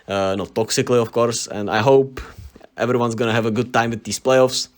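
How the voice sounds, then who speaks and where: Czech-accented man, channel host, relaxed solo sports chat, mid-pitched voice, fillers and "right?" tags. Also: brisk. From Czech Republic. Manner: chatty, laughs often, many fillers and tag questions. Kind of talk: casual solo basketball commentary.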